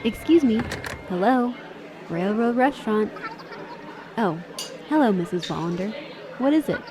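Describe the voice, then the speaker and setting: An actress playing a plain-spoken, caring young waitress in a radio drama. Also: female voice, a little high, animated, unhurried, crowd noise. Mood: concerned, curious.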